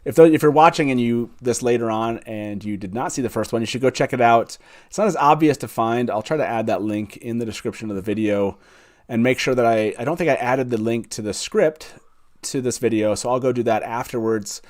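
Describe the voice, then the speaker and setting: A man with an average-pitched voice hosts a casual online fan discussion. He talks informally, feeling his way through sentences and often rephrasing as he goes. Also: fairly quick. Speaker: host of a fan live stream. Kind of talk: casual chat.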